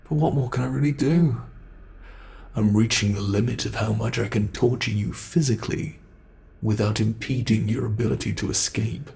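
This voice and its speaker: A man's voice: fairly low pitch, dark tone. A man with a British accent playing a cruel monster under the bed that mocks and toys with its trapped prey in a horror roleplay. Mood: menacing. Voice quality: gravelly, slow, drawn-out delivery. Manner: menacing, mocking, savoring. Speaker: ASMR roleplay performer.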